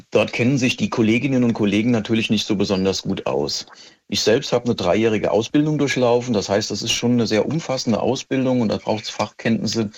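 A middle-aged man answering interview questions as a police expert, explaining in a methodical, precise and measured way. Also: on the low side.

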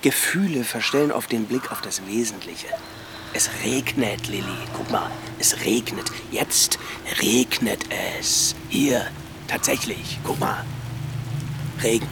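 A man's voice: medium pitch, takes it slowly, noisy background. An actor playing an evasive, cutting, status-conscious man in a naturalistic radio drama, dismissive and condescending. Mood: detached.

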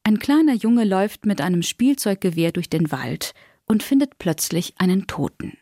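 A woman's voice: mid-range voice, very animated, normal speed, dark tone, no room sound, studio quality. A woman reading a scripted story summary as a narrator.

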